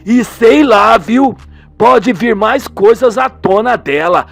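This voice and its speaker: A concerned husband, acted by a man in a radio soap, passing on news with a knowing, matter-of-fact manner. His voice is high, with soft music behind. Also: animated, takes it slowly, vocal fry, full character voice.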